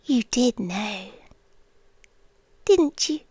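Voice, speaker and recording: A British woman playing an obsessive, creepily devoted yandere girlfriend in an intimate ASMR roleplay, breathy and often whispering, lingering on short phrases. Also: a little high, lively intonation, extremely slow, mellow, dry recording, full character voice. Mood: teasing menace. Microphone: close microphone, dry intimate recording.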